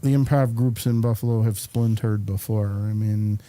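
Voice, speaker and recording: Mellow, halting man chatting casually. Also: fairly low pitch, steady tone. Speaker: talk show host. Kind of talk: casual conversational interview.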